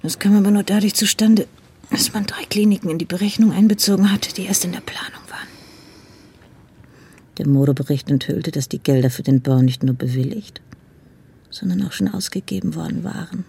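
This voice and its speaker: A commissario's well-informed wife in a radio play. Her voice is very husky and breathy with a creaky edge, and she confides in a dry, natural way. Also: on the low side, brisk, warm tone, full character voice. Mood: matter-of-fact. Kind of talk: naturalistic radio drama dialogue.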